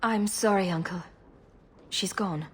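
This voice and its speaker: Earnest fantasy heroine, echoey. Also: female voice, medium pitch, measured pace, breathy edge. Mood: grieving. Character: caring, steady female mage champion, fantasy game heroine. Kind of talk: dramatic video game dialogue. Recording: reverberant sound with faint music.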